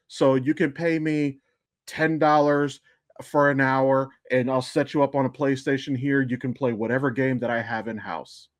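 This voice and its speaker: A man's voice: fairly high pitch, no echo, studio quality. A middle-aged man hosting a podcast in casual chat, rambling and swearing freely as he tells stories. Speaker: podcast host.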